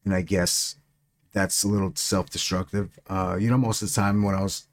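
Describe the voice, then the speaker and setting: Low-voiced stuttering middle-aged man. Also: flat delivery, moderate pace. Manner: stuttering, casual and profane. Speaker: podcast co-host. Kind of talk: casual chat.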